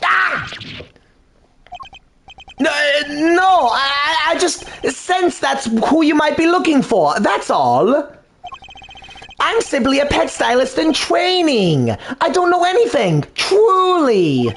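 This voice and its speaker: A man's voice: very high-pitched, big pitch swings, slow, fairly bright tone, slight background noise, voice pushed up, full character voice. A man voicing a jittery young male pet stylist suspect who stammers nervously and swaps his R's for W's. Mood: flustered. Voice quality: slight lisp replacing R's with W's. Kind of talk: fan dub of a video game.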